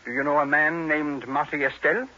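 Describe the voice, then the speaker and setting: A man's voice: a little high, steady tone, brisk, pushed and bright. An actor in an old radio drama playing a wealthy, haughty man of sixty with a formal, precise manner. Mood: businesslike. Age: 60s. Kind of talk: 1940s radio drama acting. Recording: dull vintage radio recording.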